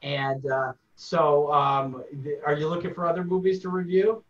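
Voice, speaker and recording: A man's voice: fairly high pitch, flat delivery, moderate pace, muffled recording. A man co-hosting an interview over a video call, with a dry, teasing manner. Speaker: co-host.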